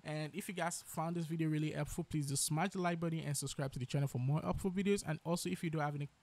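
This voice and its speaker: A man hosting a software tutorial, explaining each step as he narrates. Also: a little high, steady tone, fast, dry recording. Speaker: tutorial host.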